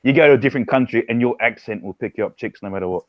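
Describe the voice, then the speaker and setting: A man's voice: mid-range voice, racing, no room sound, muffled recording. A man chatting bluntly and swearing in casual stream banter.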